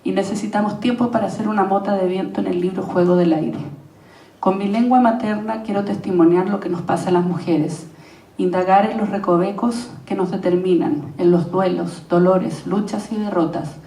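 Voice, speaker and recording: A Chilean playwright and actress reading a prepared text at a panel, measured and reflective, pausing through long lists. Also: female voice, mid-range voice, steady tone, warm tone, distant mic. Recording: echoey room, voice from off-mic.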